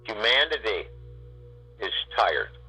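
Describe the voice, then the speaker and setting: A man guiding a spiritual meditation, speaking in slow, drawn-out phrases with long pauses. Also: fairly low pitch, very animated, bright, forceful tone, soft background music, rough recording. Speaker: meditation guide.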